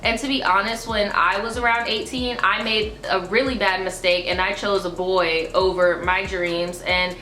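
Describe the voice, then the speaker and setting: A woman's voice: mid-range voice, fairly even intonation, moderate pace, hardly any pauses, bright, forceful tone, roomy sound, rough recording. A woman in her twenties with allergy-stuffy sinuses, chatting casually to camera and reading a viewer's letter, full of fillers and slang. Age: 20s. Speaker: YouTuber reading viewer stories. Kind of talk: casual advice chat and reading letters aloud. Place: small indoor room, seated before a dark backdrop.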